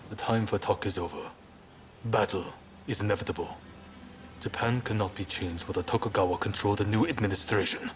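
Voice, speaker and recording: An actor playing a resolute, earnest samurai rebel leader in a dramatic video game cutscene. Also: male voice, on the low side, steady tone, fairly quick, slight background noise, close to an everyday voice. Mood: resolved, grave.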